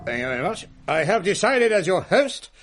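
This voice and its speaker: A man's voice: high-pitched, big pitch swings, brisk, loud music behind the voice. A man playing a pompous, testy middle-aged Victorian English uncle in a radio drama, wordy and easily exasperated. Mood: pompous, commanding. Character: pompous, testy Victorian uncle hosting Christmas ghost stories. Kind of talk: period radio drama acting.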